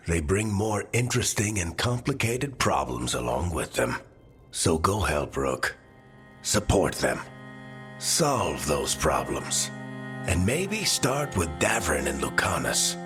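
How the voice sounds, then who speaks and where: Older game mentor: very low, expressive, dry and weary, music underneath. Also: male voice, slow, heavily acted. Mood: grave, encouraging. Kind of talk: video game dialogue acting.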